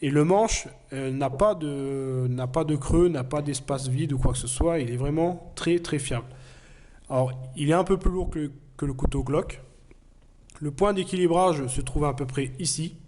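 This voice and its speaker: Man, has a cold, mellow tone, knife review, earnest, hesitant. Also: fairly high pitch, moderate pace. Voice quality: hesitant, many fillers. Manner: plain, earnest explaining. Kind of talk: casual product review.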